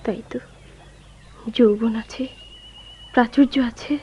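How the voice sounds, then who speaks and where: Sad devoted woman missing her lover, highish voice, dramatic radio play, hissy dull audio. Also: steady tone, slow, dark tone. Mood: melancholy, gentle. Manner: theatrical, wistful, breaking into anguished outbursts. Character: grieving, faithful woman waiting for her lost beloved. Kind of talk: Bengali radio drama acting. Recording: dull lo-fi radio recording, clearly noisy.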